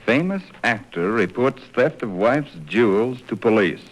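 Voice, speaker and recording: An actor playing a confident, stubborn husband in an old radio soap opera. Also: male voice, mid-range voice, expressive, unhurried, rough recording. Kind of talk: 1940s radio soap acting.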